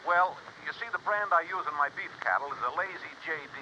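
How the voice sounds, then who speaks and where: Nervous old-radio rancher, stammery and folksy, higher bright voice, dull noisy 50s recording. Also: male voice, quick pace. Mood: nervous, evasive. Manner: hesitant, stammering, evasive, folksy. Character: nervous, folksy middle-aged Colorado cattle rancher hiding trouble. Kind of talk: 1950s radio drama acting. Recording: noisy, muffled vintage 1950s radio recording.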